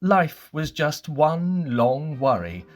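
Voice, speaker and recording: A storyteller narrating a children's bedtime story in an expressive, scripted reading style. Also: medium pitch, takes it slowly, faint music behind.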